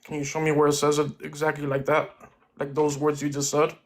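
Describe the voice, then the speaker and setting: Flat-voiced man in his twenties. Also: a little high, fairly quick. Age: 20s. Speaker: caller. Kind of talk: casual debate.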